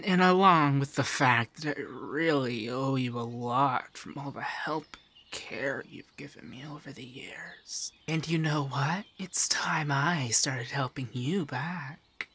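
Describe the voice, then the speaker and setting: A man playing a doting, teasing feminine male monster companion in a softspoken, often whispered ASMR roleplay. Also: on the high side, heavily acted. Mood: tender, coaxing. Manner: breathy, often dropping to a whisper.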